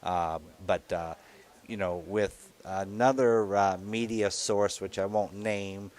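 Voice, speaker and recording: A man in his sixties co-hosting a live radio telethon, talking in a halting way with many fillers and restarts. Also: lowish voice, fairly even intonation, a little mellow. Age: 60s. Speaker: telethon co-host.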